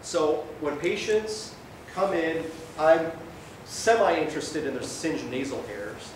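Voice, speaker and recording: A male doctor giving an informal medical lecture in a conversational way, often checking in with his listeners. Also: slow. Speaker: emergency physician lecturing. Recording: very echoey room, distant mic, noisy rumble.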